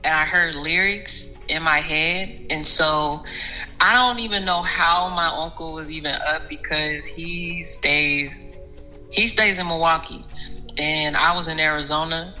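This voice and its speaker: Woman guest, low and very bright voice, animated storyteller. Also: a little slow, faint music behind, low-quality recording. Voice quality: soothing voice. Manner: animated, restarts and repeats words, laughs often. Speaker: podcast guest, singer. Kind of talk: casual interview chat.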